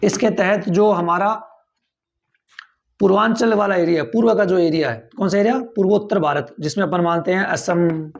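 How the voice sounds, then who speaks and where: A man's voice: sits high, moderate pace, vocal fry, dull audio, a little hiss or hum. A man teaches a civics class. He goes over each point more than once and keeps checking whether his students follow. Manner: repeats key points, quizzes listeners with rhetorical questions. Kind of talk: classroom lecture for tenth grade.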